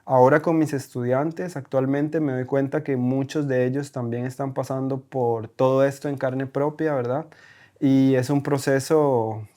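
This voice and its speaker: A man's voice: medium pitch, steady tone, unhurried, warm tone. A man from Costa Rica, a German teacher, telling his story as a podcast guest, with many hesitations and tag questions. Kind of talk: casual conversational interview. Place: recording in Berlin.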